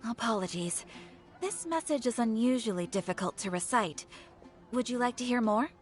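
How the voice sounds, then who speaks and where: A woman voicing a polite young female receptionist in a video game, courteous and measured. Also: a little high, lively intonation, soft background music, natural voice. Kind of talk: English video game dub.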